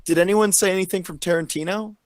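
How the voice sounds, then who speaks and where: A man's voice: high voice, steady tone, quick pace, over a noisy crowd. A man chatting casually and enthusiastically about favourite movie quotes, swearing freely as a panelist in a group chat. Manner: profane, enthusiastic, drops into recited movie lines.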